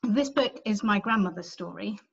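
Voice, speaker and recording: A woman's voice: medium pitch, moderate pace, gravelly, husky. A middle-aged woman with an English accent giving a virtual book presentation from home, talking conversationally with frequent ums and self-corrections. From England. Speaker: author giving a library talk. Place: home room, indoors. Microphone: webcam on a video call. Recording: slightly dull webcam audio.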